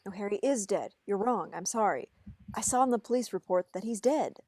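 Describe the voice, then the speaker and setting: A woman's voice: mid-range voice, lively intonation, a little fast, dark tone, no room sound, clean studio sound, close to an everyday voice. A woman playing a skeptical, exasperated young man in a script table read, with a blunt delivery.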